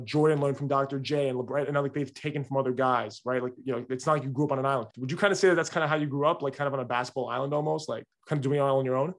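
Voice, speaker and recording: A man hosts a casual podcast interview at a very rapid clip. He asks eager, rambling questions packed with fillers. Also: medium pitch. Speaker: podcast host.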